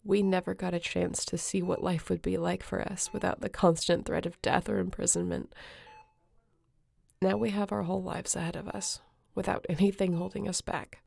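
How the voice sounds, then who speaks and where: A woman acting an exhausted, guilt-ridden, devoted space adventurer in an intimate sci-fi audio roleplay, speaking earnestly and tenderly in halting phrases. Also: fairly low pitch, fairly even intonation, creaky, darkish tone. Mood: tender, relieved.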